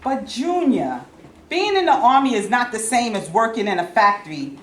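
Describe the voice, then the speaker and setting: A woman's voice: a little high, sing-song, normal speed. A woman playing a worried, folksy male steel boss in a staged play reading. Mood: doubtful. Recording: echoey room, faint noise.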